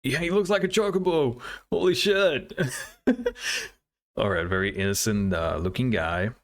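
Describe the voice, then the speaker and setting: A man's voice: medium pitch, a little slow. A man hosting a casual reaction video, thinking aloud in hesitant fragments. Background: game trailer music.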